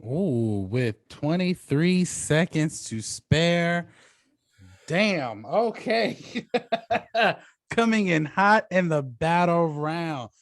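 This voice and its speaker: This is a man hosting a debate show, with a somewhat high voice captured close and dry. He moderates off the cuff and reacts excitably out loud. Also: very slow, no room sound.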